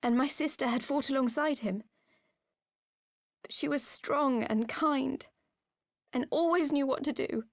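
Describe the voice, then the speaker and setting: A woman acting a grieving woman in her twenties in a video game cutscene, speaking in an earnest, halting confession. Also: sits high, no echo, clean studio sound. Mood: sorrowful, confessional. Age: 20s. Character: grieving young woman revealing her painful past.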